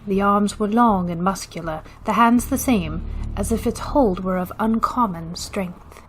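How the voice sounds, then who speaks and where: A woman narrating a ghost tale for radio drama, grave, suspenseful and deliberate. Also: medium pitch, soft background music, close to an everyday voice. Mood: measured, suspenseful. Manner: measured, deliberate.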